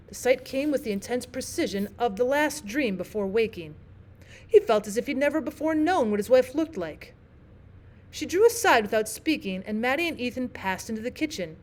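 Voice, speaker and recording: A woman teacher narrating a classic novel aloud in a steady, careful reading. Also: a little high. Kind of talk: audiobook narration.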